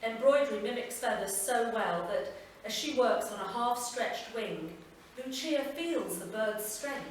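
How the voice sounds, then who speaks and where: A woman reading a literary story aloud at a live event, measured and expressive, recorded from far off. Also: on the high side, a little hiss or hum. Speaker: reader. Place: performance venue. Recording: echoey hall from far off, faint ambience.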